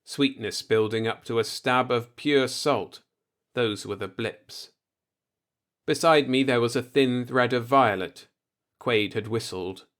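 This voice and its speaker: A man's voice: mid-range voice, slow, fairly bright tone. A man reading a first-person science fiction story aloud as a scripted audiobook narrator.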